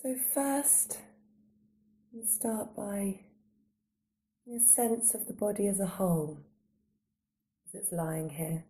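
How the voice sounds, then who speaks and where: A woman guiding a body scan meditation in a calm, gentle, unhurried manner, giving slow soothing instructions with long pauses. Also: medium pitch. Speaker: meditation guide.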